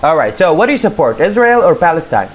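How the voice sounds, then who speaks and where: A man's voice: fairly high pitch, big pitch swings, quick pace. A man hosting casual interviews over video chat, repeating his stock questions and hesitating often. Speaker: host asking strangers in video chats. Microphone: video call.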